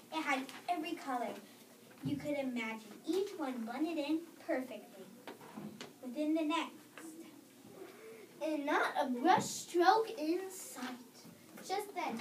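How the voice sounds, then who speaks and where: A child reads a scripted story aloud, halting now and then to correct stumbles. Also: high voice. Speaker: reader in a readers theater performance. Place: echoey room. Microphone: distant microphone. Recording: roomy, distant microphone, noticeable background noise.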